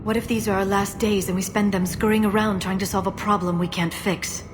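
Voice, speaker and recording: An earnest, soft-spoken alien scientist , played by a woman in a video game drama. She speaks in measured, precise phrases at a middling pitch, determined yet doubting herself. There is distinct noise behind her. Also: fairly even intonation, lightly acted. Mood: troubled, doubting.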